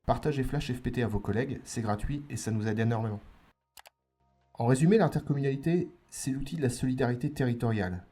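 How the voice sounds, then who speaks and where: A man hosting an educational podcast, reading a scripted explainer in a didactic, clearly structured way that addresses the listener. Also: medium pitch, quick pace, mellow, soft background music. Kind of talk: scripted explainer narration for exam revision.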